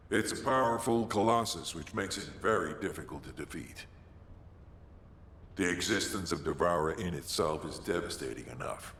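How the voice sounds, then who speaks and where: A man playing a grave military leader in a game, speaking solemnly and with authority in a gravelly voice. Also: rumbling low, fairly bright tone, exaggerated voice. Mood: urgent, grave. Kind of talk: scripted game dialogue. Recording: echoey large hall with faint noise.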